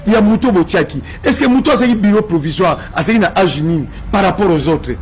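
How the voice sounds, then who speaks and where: A man's voice: high-pitched, brisk, breathy edge, soft background music. A man from Congo with a Congolese French accent giving impassioned political commentary, emphatic and repetitive, slipping in Lingala phrases.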